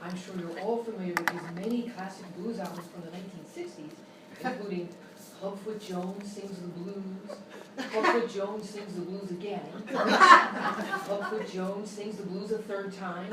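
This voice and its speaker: An actress playing a polite, earnest public-radio talk show host, formal and deadpan, in a parody interview. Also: female voice, mid-range voice, a little slow, natural voice. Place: live show in a hotel room. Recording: echoey live stage, distant mic, noisy.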